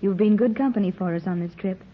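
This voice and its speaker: A woman's voice: mid-range voice, quick pace, lightly acted. Actress as genteel mother, muffled vintage radio. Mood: tender. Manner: tender, pleading, genteel. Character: gentle, composed middle-aged mother. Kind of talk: old-time radio adventure serial acting. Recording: muffled, narrow-band old radio recording.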